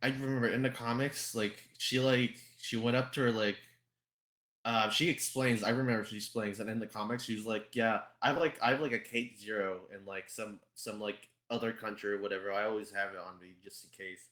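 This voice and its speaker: A man explaining things in casual conversation, halting and often repeating his phrases as he goes. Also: lowish voice, steady tone, a bit bright. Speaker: podcast guest.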